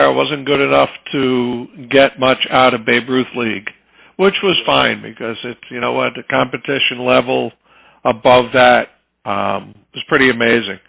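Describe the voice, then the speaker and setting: A man in his fifties with a gravelly, bright-edged voice. He talks in an easygoing, storytelling way as an interview guest, casually recounting his path into his trade. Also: medium pitch, poor audio. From New Jersey, US. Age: 50s. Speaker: home inspector guest.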